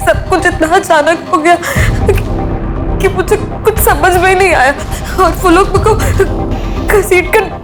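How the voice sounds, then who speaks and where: Distressed high-voiced poor woman. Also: a crowd in the background. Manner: halting, humble, pleading. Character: distressed poor female domestic worker, humble crime victim. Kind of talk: emotional TV crime drama acting. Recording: music under dialogue.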